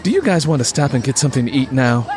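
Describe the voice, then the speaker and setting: A man's voice: mid-range voice, big pitch swings, fast, over music, crowd noise, lightly acted. A man voicing a huffy, reluctant wife and mother in an audiobook. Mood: grudging. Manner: huffy, complaining.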